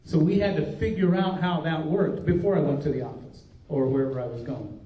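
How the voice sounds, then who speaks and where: A man's voice: on the high side, brisk. Older man preaching folksy in a roomy hall. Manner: earnest, folksy preaching with restarts. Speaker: pastor. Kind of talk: conference workshop talk. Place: conference room. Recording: dull, roomy recording from a distance.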